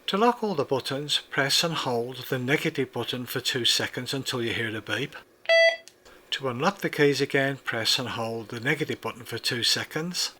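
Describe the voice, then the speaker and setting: A man narrating a scripted product review, giving measured, precise step-by-step instructions. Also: mid-range voice.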